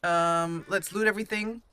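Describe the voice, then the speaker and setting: A woman's voice: medium pitch, fairly bright tone, clean tone. A woman giving casual commentary as she plays and roleplays through a fantasy video game. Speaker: gaming channel host, player. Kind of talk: casual let's play commentary with in-character roleplay.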